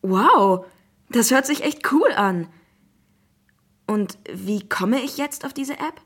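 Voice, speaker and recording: A woman's voice: on the high side, a little slow, husky. A woman voicing a curious, youthful schoolboy in a children's audiobook, eager and easily impressed.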